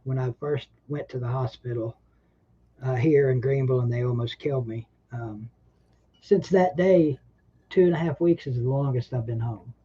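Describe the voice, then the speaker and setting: A man's voice: medium pitch, moderate pace, dark tone, breathy edge, highs cut off. A man hosting a casual livestream chat, rambling and laid-back, a bit short of breath. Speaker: livestream host.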